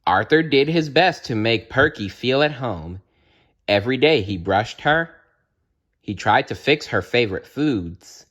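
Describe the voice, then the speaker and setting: A man reading a children's story aloud as narrator, with expressive delivery. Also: medium pitch, unhurried. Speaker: storybook reader.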